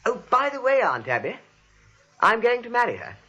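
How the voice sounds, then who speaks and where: An actor playing a flustered, frantic nephew who sputters and repeats himself in disbelief, in 1940s screwball comedy style. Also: male voice, very high voice, huge pitch swings, moderate pace, background noise, full character voice. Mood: breezy, distracted. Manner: sputtering, repeats words, incredulous. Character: frantic, flustered nephew, a theatre-going writer. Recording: muffled, dull vintage 1940s soundtrack.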